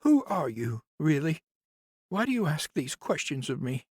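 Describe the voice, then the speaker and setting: A man's voice: a little high, big pitch swings, measured pace, breathy edge, dry recording, pitched above the performer's own voice, exaggerated voice. A man voicing a grieving woman in her fifties, an old family nurse, in a tearful, wavering voice for an audiobook. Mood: suspicious. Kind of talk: audiobook character voice.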